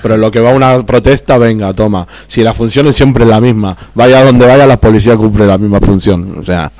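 A man's voice: on the low side, brisk, darkish tone, dry recording. A man with an Argentine accent talking heatedly on a political radio chat, repeating and tripping over his words. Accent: Argentine Spanish.

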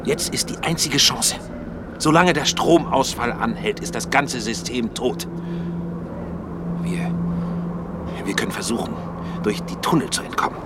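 A man's voice: mid-range voice, animated, unhurried, pauses often, heavy background noise, close to an everyday voice. An actor playing an agitated male insider in a science-fiction radio drama, speaking formally, theatrically and insistently. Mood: controlled, formal. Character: agitated male insider warning of a murderous system.

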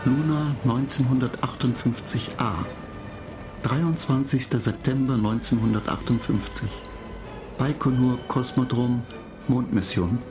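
A man's voice: mid-range voice, close to an everyday voice. Man acting a detached computer, music behind. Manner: detached, measured, relentless recital. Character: cool, superior computer mind lecturing human space travellers. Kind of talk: German radio play, recited log of facts.

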